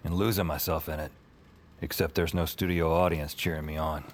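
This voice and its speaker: A man playing a lonely, deadpan loner who narrates his own life in an audio drama. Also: a bass voice, brisk. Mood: wry, downcast. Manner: deadpan, wry. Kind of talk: first-person scripted narration, audio fiction. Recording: music under the voice.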